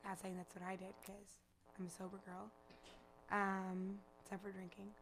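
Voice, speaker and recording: A raspy-voiced young woman from Chicago, chatting loosely as a guest musician, full of fillers and profanity. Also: medium pitch, flat delivery, moderate pace, fairly bright tone, a little hiss or hum. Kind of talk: casual interview chat.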